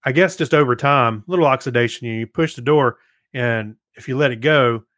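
A man's voice: medium pitch, a little fast, fairly bright tone, dry recording. Casual man chatting, dry close sound. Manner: conversational, joking. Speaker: podcast co-host. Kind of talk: casual chat.

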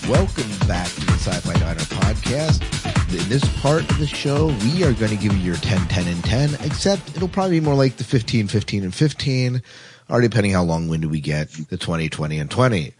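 A man's voice: lowish voice, very animated, a little fast, music in the background. A man hosting a podcast, chatty and rambling, reading out news items in a casual, self-deprecating way. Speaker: podcast host.

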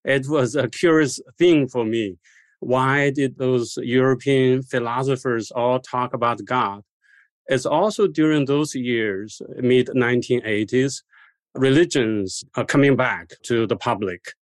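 A man in his sixties from China, a scholar of religion, giving measured, explanatory answers in an interview. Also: medium pitch, no room sound.

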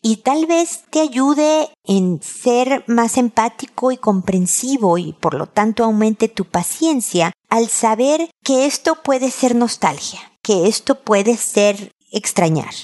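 A woman's voice: fairly high pitch, expressive, slow pace, hardly any pauses, darkish tone. A middle-aged Mexican woman, a psychologist hosting a podcast, reading listener letters and answering with reassuring advice and frequent tag questions.

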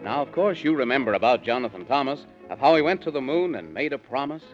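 A man's voice: medium pitch, lively intonation, quick pace, over loud music. A man narrating a 1930s children's radio serial in theatrical, sing-song rhyme. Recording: dull, lo-fi 1930s radio recording.

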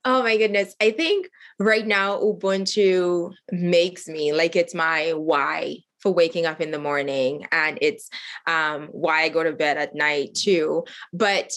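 A middle-aged woman from Haiti tells her story as an interview guest. Her voice sits a little low, and she speaks earnestly and reflectively, often pausing on fillers and restarting her sentences. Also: fairly even intonation, a bit bright. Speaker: guest, nonprofit cofounder.

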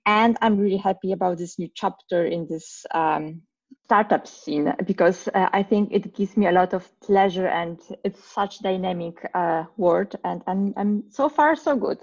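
A woman's voice: fairly low pitch, moderate pace, vocal fry, darkish tone, muffled recording. A woman in her thirties from Poland with a Polish accent, answering podcast questions earnestly with many hesitant fillers. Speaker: podcast guest. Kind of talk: casual interview answers.

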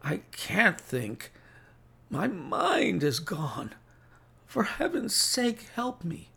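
A gravely ill man in a classic detective tale. The voice is lifted high and thin, dragging slowly through labored, whispery gasps. He pleads weakly and haltingly, as if every phrase costs him effort. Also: huge pitch swings, pushed and bright, exaggerated voice. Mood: suffering, pleading. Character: gravely sick man, weak, gasping, pleading for help.

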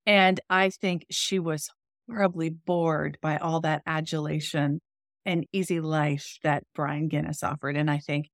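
A woman author being interviewed, explaining in a conversational, expressive, emphatic storytelling way. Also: low-pitched, unhurried, dry recording, studio quality.

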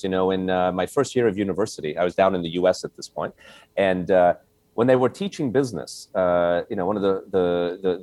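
Deep voiced guy in his 40s, from hungary, lots of uh and you know, dry close sound. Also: darkish tone, no room sound. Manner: halting, many fillers and restarts. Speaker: guest, company founder. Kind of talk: casual interview answers.

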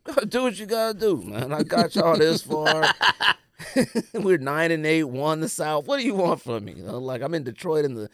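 American guy hosting a podcast, real animated, jokey and slangy, dry sound. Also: a little high, normal speed, dry recording. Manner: slangy, joking. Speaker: podcast host. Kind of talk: casual sports and sneaker banter.

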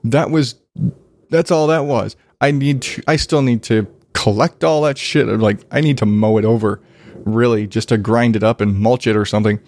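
A man's voice: mid-range voice, steady tone, moderate pace, a little mellow. A man with a congested voice ranting casually about yard work, swearing freely and rambling. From Arizona, US. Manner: profane, rambling, self-deprecating. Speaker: vlogger. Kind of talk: casual rant.

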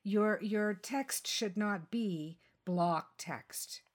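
A woman's voice: medium pitch, very slow. A woman podcast host talking in a chatty, encouraging, conversational interview style.

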